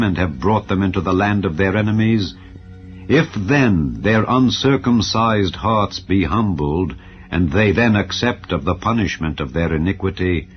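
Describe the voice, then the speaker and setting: Deep, grave male scripture reader. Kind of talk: solemn scripture reading. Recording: dull audio with soft music. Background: soft ambient music.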